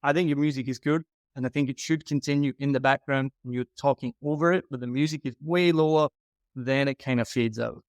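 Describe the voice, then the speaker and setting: A man talking in a casual, candid way as he critiques a podcast. His voice sits in an ordinary middle pitch. The recording is close and dry, with no room sound. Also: a little fast, clear, resonant tone, dry recording. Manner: frank, advising. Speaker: podcast consultant.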